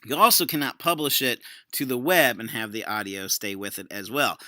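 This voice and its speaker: A man's voice: mid-range voice, moderate pace, sharp tone, no echo, studio quality. A man giving a casual screen-share tutorial as an educator, explaining things conversationally. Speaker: educator demonstrating a software tool.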